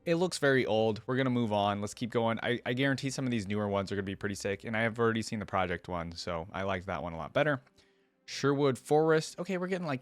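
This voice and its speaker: Low-voiced man giving casual reactions. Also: dry recording. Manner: informal, musing, thinking aloud. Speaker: reaction video host.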